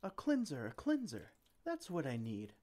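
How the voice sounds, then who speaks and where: A man's voice: a little high, wildly swooping pitch, normal speed, mellow. A man playing a flustered, well-meaning potion-brewing mage in an ASMR roleplay, theatrical and exclamatory. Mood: pleading, reassuring. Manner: theatrical, exclamatory, speaks to a silent assistant.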